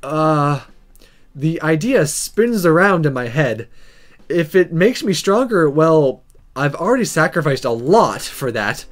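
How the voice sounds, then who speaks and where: A man's voice: fairly high pitch, sing-song, unhurried. A man voicing a flustered, sarcastic former guy now in a girl's body, in a visual novel, with a hesitant, embarrassed, wry delivery. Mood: uneasy, resigned.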